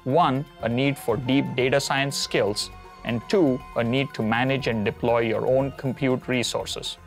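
A man in his forties, a native Konkani speaker, narrates a software demo in a steady, explanatory manner. His voice sits at a medium pitch, with faint music running underneath. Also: a little slow. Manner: steady explanatory, occasional "uh" fillers. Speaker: cloud product presenter. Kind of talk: scripted tech demo narration. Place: studio set with map backdrop.

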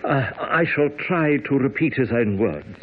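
An actor playing a fretful, nervous little gentleman hypochondriac in a radio drama, stammering and fussing. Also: mid-range voice, expressive, unhurried, husky. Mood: grave, reminiscing. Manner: stammering, fussy, nervous chuckling. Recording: muffled old radio broadcast.

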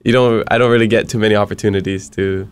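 A man's voice: lowish voice, fairly even intonation, quick pace, clean tone. Joking young man hosting a studio podcast. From Hawaii. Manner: upbeat, joking, switches between English and Hawaiian. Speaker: podcast host. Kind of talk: casual podcast interview. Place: small radio studio. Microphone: studio mic on boom arm.

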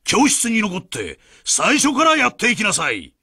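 A stern, very high-voiced teacher. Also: male voice, wildly swooping pitch, moderate pace, sharp tone, breathy edge, exaggerated voice. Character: stern, teasing male schoolteacher. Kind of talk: radio drama.

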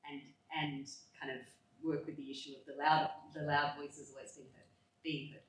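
A woman's voice: deep voice, level tone, moderate pace, slight background noise. A woman in the audience politely asks a question from the floor, hesitating with filler words. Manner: polite, hesitant with many ums. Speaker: audience member asking a question. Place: university seminar room. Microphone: handheld mic. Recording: very echoey room from far off.

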